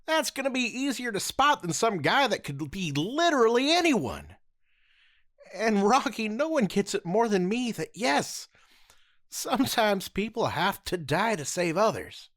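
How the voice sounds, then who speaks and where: A woman playing an earnest adult male ex-soldier in a fan audio drama, talking forcefully with a lecturing edge. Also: very animated, measured pace. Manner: forceful, lecturing, with wide swings in pitch. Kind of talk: amateur audio drama acting.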